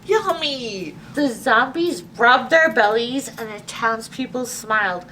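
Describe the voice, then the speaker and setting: A woman's voice: fairly high pitch, unhurried, bright, forceful tone. A woman librarian hosting a children's story time, reading a picture book expressively with little asides to young listeners. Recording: distant mic with faint background noise.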